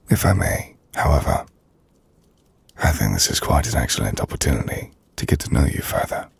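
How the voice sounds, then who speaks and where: A man playing an ancient, brooding vampire lord in an intimate ASMR roleplay, speaking formally and drily in a gravelly, breathy near-whisper. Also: extremely low pitch, heavily acted. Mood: gentle, curious. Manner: formal, measured, dry. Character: ancient brooding vampire lord, dryly teasing, courteous host.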